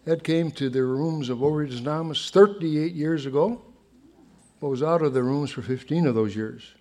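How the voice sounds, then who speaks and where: A man reading a measured, formal introduction for a guest speaker at a recovery meeting. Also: a little high, warm tone.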